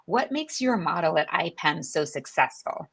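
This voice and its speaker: Female interviewer, low register, polished business presenter delivering prepared remarks. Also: expressive, moderate pace, a bit bright, slightly rough. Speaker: interviewer and publishing executive.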